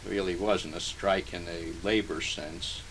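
An older man speaks in a low, gravelly voice with a flat, even delivery, pausing often and filling gaps as he searches his memory. The noisy archival tape hisses clearly behind him. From Hungary, raised in New Jersey. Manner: slow, halting, many pauses and fillers. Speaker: interviewee. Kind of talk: oral history interview.

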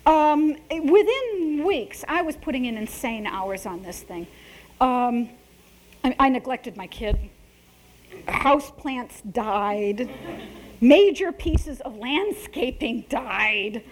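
A middle-aged woman author giving an animated, self-mocking talk and laughing at her own jokes. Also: high voice, slow pace, a little hiss or hum, low-quality recording. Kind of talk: conversational public talk.

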